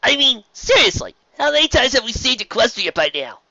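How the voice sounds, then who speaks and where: Brash, loud young pegasus pony, played by a woman. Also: medium pitch, sing-song, brisk, gravelly, no room sound, muffled recording, pitched above the performer's own voice, exaggerated voice. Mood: cocky. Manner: loud, scornful, cocksure.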